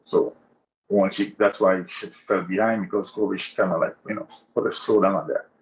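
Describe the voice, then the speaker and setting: A man's voice: low-pitched, level tone, moderate pace. A man from Trinidad giving a casual, slangy, chatty account as a guest caller. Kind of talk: casual account of personal experience. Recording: dull, lo-fi call audio.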